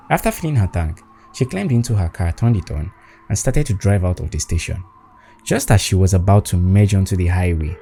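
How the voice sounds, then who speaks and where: A man's voice: low-pitched, dark tone, slight background noise. A man narrating a scripted spooky story in a dramatic storytelling manner. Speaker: storyteller, host.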